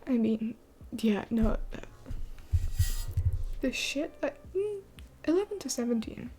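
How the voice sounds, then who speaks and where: A woman's voice: fairly high pitch, expressive, slow, dark tone, a little hiss or hum. A woman in her twenties hosting a late-night live Q&A, rambling with many fillers and often dropping to a whisper. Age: 20s. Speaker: livestream host.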